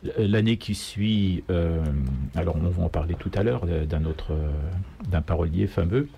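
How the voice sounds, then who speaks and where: A man from Iran speaking hesitantly, with many pauses, as an unscripted guest in a radio interview. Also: deep voice, gravelly, warm tone, a little hiss or hum. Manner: hesitant, halting delivery full of pauses. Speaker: guest singer. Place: radio studio. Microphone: close studio microphone.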